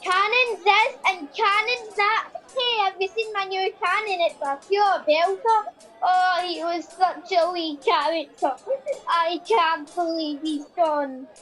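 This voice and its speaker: Childlike, chatty Scottish soldier, very high-pitched, unhurried, radio comedy. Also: female voice, steady tone, a bit bright, faint music behind, full character voice, rough recording. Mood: chatty, cheeky. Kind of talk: radio comedy play acting.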